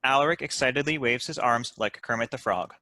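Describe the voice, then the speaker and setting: A man's voice: medium pitch, fairly quick, pushed and bright, dry recording. A man reading out stage directions as the narrator of a scripted table read.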